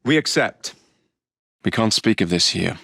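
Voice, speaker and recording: An actor with an English accent playing a cocky, sardonic young Victorian London gang-leader assassin in a video game. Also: male voice, on the low side, expressive, measured pace, creaky, fairly bright tone, breathy edge, no echo, clean studio sound, full character voice. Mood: focused. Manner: sardonic, flippant, cheeky. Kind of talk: video game character voice lines.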